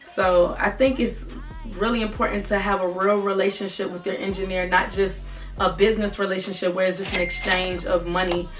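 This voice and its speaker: A young woman speaks with a naturally raspy tone, sharing advice in an easygoing, earnest way. She sits a little back from the microphone. Soft music plays faintly underneath. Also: mid-range voice, little pitch movement, brisk, recorded from a distance. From Virginia, United States. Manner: casual, earnest advice talk. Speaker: rapper and vlogger.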